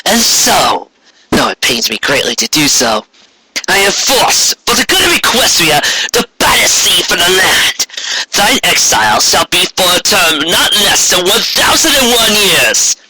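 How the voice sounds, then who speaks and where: A man's voice: sits high, big pitch swings, a little slow, sharp tone, slight background noise, pitched above the performer's own voice, heavily acted, low-quality recording. A man voicing an imperious, self-righteous elder female alicorn queen in a fantasy story, speaking in grandiose, haughty archaic phrases. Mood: grandiose, condemning.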